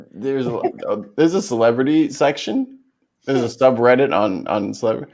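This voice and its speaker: A man's voice: mid-range voice, lively intonation, normal speed, darkish tone. A man hosting a casual livestream chat over a video call, swearing and laughing often. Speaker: livestream host.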